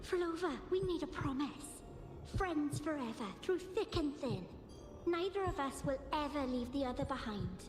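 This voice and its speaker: A woman's voice: high-pitched, lively intonation, normal speed. An actress playing an affectionate young girl in a video game, earnest and pleading. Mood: bright, affectionate. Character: eager, affectionate young girl, a devoted friend. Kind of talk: dramatic video game voice acting. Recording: echoey hall reverb with music.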